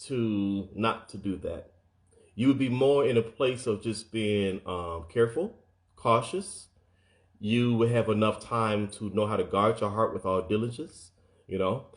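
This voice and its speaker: Male motivational speaker, earnest and encouraging, lowish voice, repeats for emphasis. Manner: earnest, repeats words, addresses listeners directly.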